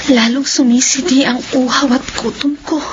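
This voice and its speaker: A young Filipino woman acting in a horror radio drama as an anguished, cursed daughter tormented by hunger, speaking in a slow, halting, dramatic way. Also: high voice, steady tone, lightly acted. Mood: troubled, secretive. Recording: dull lo-fi radio audio, clear background noise.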